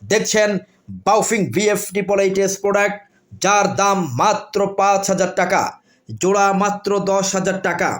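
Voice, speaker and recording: A man with a Bangladeshi accent presenting products in a repetitive sales pitch. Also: level tone, moderate pace.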